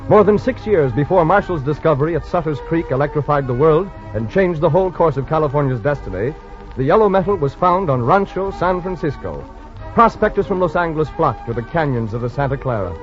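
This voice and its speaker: A man narrates a vintage radio history in formal storytelling style. His voice sits slightly high, on a muffled old recording with music behind it. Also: lively intonation, a little fast. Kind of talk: 1940s radio scripted narration.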